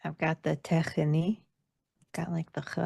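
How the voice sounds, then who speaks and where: A woman's voice: low-pitched, flat delivery, a little slow, gravelly, a little mellow, dry recording, studio quality. An American woman as an interview guest, chatting casually in a playful, self-deprecating way. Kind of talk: casual chat.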